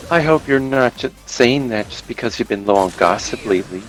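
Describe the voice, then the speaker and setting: A man's voice: medium pitch, animated, a little fast, soft background music, natural voice, rough recording. A man voicing a curt, prickly teenage anime girl with defensive retorts. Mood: dry.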